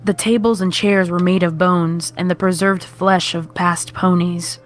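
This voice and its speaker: Low-voiced woman narrating a horror story. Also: steady tone, measured pace.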